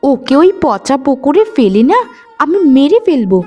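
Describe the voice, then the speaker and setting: A woman plays a spiteful young sister-in-law from a moral-story cartoon. She has a high, haughty voice and sneers cruelly as she taunts, with soft music underneath. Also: very animated, moderate pace, lo-fi sound. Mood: plotting.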